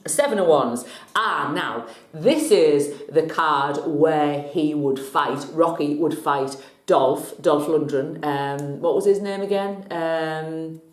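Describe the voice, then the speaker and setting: A middle-aged woman hosting a casual tarot vlog, slightly scratchy from a cold, chatty and quick to joke. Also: low voice, reverberant. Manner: chatty and jokey, laughs often. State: has a cold, a bit scratchy. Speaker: vlog host, tarot reader.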